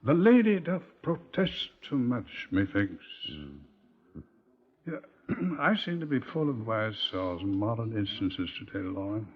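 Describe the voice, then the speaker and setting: Droll superintendent, very animated intonation. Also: male voice, on the low side, a little slow, breathy edge, exaggerated voice. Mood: musing, pompous. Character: shrewd, musing senior police superintendent, fond of quotations. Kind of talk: 1950s radio crime drama dialogue. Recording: muffled, noisy 1950s radio recording.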